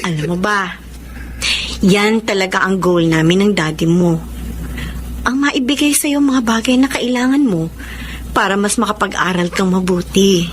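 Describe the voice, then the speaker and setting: A woman playing a warm, grateful working mother in a radio drama. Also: mid-range voice, measured pace, long pauses, slight background noise, close to an everyday voice. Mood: tender.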